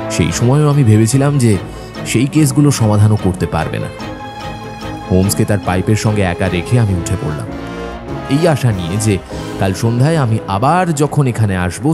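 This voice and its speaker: A man playing an earnest, admiring doctor who narrates an audio drama in a measured storytelling way. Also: mid-range voice, close to an everyday voice. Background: faint background music.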